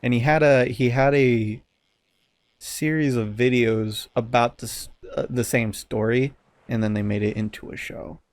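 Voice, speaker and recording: A man co-hosting a podcast in casual chat, halting as he searches for his words. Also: medium pitch, fairly even intonation, dry recording. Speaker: podcast co-host.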